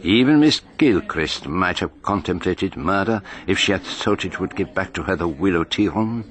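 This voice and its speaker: Low-voiced, formal foreign detective. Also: male voice, expressive, fairly bright tone. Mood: dry, reflective. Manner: measured, formal, precise. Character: shrewd, courteous foreign male detective, inwardly brooding. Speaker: detective narrating his own case. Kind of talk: radio drama narration and dialogue.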